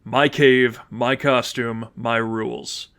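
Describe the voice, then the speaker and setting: Casting: male narrator as stern crime-fighting patriarch, clipped orders, quiet authority, mid-range voice. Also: steady tone, slow. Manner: terse, low-voiced, authoritative. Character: stern, commanding vigilante father in charge.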